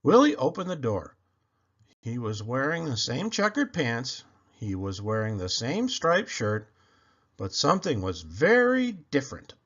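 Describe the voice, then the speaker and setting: A man reading a children's picture book aloud in an expressive, animated and deliberate storytelling manner. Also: mid-range voice, muffled recording.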